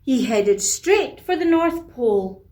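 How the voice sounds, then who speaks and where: A woman tells a children's bedtime story in a fairly high voice. She goes very slowly and deliberately, with expressive phrasing and asides for young listeners. The room is noticeably echoey.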